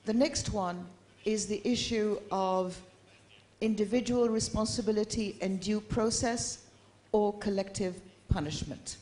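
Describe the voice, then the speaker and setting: A woman from Iraq giving measured, structured remarks at a conference, listing her points one by one. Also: medium pitch, darkish tone. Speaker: forum participant.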